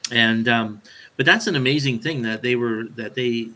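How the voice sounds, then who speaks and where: A man with a medium-pitched, bright and forceful voice explains scripture in a casual podcast chat. He speaks haltingly, often doubling back on his phrases as he goes. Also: slight background noise.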